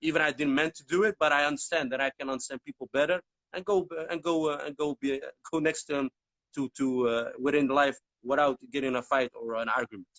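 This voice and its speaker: A man's voice: mid-range voice, steady tone, fast talker, fairly bright tone, no room sound, rough recording. A man, a Berber speaker, interviewing casually and rambling with lots of repeated words. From Netherlands.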